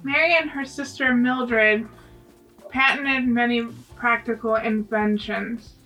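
A woman reading a scripted segment aloud haltingly in short phrases, a little away from the mic. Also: a little high, fairly even intonation, bright, forceful tone. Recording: distant mic with faint music.

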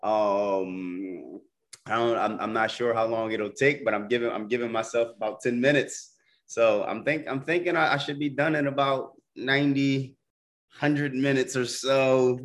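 An American man in his mid-thirties talks casually in conversation. His voice sits at a medium pitch, and he tends to double up words and phrases as he works toward his point. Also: steady tone, unhurried. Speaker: podcast guest, first-time triathlete. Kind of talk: casual chat.

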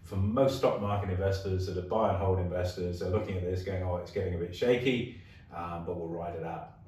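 An Australian man hosting a casual finance show, his voice husky and hoarse today, talking chattily with frequent restarts. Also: sits low, fairly even intonation, a little fast, a little mellow. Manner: chatty, restarts with repeated words. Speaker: host. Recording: echoey room, distant mic.

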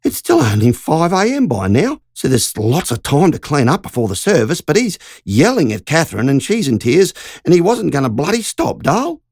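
A woman's voice: deep voice, sing-song, normal speed, a little mellow, slightly rough, no echo, studio quality, pitched above the performer's own voice, heavily acted. A narrator voicing Margie, a shaken country woman who tells a frightening story in an urgent, breathless rush. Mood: indignant.

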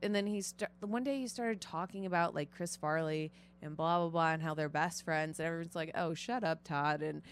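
Casual swearing young woman, low voice. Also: normal speed, few pauses, slight background noise. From Chicago North Shore suburbs. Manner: casual, swears often, lots of fillers. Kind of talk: casual podcast chat.

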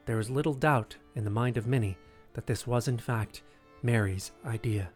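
Male narrator for historical audio drama: mid-pitched, measured, dramatic scripted delivery, faint music underneath.

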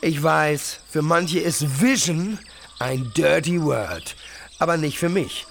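Casting: swaggering startup-bro mogul for satirical podcast drama, higher-pitched, fry-laden voice, hype talk with English buzzwords. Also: male voice, expressive, slow, fairly bright tone, slight background noise, exaggerated voice. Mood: smug, preachy. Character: cocky woke male influencer mega-entrepreneur, slick, self-promoting.

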